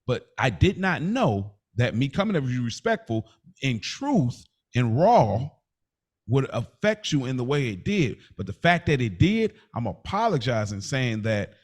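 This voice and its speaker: Male podcast co-host, medium pitch with lively swings. Casual debater who keeps clarifying and rewording his argument. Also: unhurried. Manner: explanatory, restates and clarifies his point.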